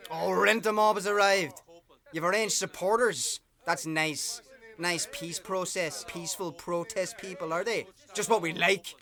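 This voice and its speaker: A man's voice: high-pitched, fairly even intonation, a little slow, bright, forceful tone, heavily acted. An actor playing a smug, menacing male bailiff's assistant with a smarmy, sneering manner in a radio play.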